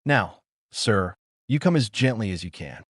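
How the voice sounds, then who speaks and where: A man's voice: lowish voice, lively intonation, measured pace, no room sound, clean studio sound. A man voicing an anxious android butler in an audiobook, formal, deferential and urgent. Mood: urgent, hushed.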